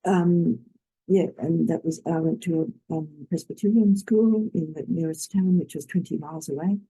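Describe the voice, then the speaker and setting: A speaker sharing memories of school on a video call in a halting way, with many pauses and fillers. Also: mid-range voice, warm tone, slightly rough, no room sound, poor audio. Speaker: webinar participant.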